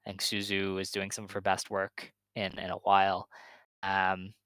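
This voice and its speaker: A man's voice: low-pitched, completely flat delivery, moderate pace, sharp tone, clear, resonant tone, no echo. Chatty man hosting a podcast, dry sound. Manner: chatty, hedging with "I think" and "um". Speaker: podcast co-host. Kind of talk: casual wrestling podcast chat.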